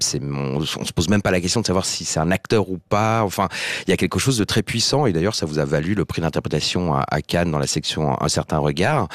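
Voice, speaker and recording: A man's voice: deep voice, no room sound. A man hosting an interview in a studio, asking long, winding questions. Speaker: interview host.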